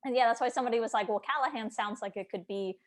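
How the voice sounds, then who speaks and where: A woman's voice: fairly high pitch, racing. A woman chatting casually and informally, often acting out little bits of quoted dialogue as she goes. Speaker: book editor. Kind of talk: casual chat.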